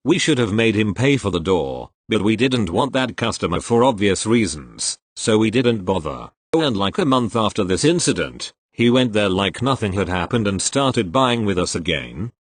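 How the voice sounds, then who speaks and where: A man with a fairly low voice narrates retail stories, reading steadily and even speaking punctuation marks aloud. His pitch still moves a lot from line to line, and the sound is close and dry with no room echo. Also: moderate pace, few pauses. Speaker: narrator reading online posts. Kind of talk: scripted narration.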